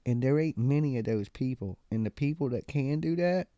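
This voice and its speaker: A man hosts a live call-in stream in a loose, slangy, emphatic way. His voice is mellow and dark, and the sound is dry and close. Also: mid-range voice, lively intonation, brisk, dry recording. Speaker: live call-in show host. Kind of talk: casual live-stream talk about crime cases.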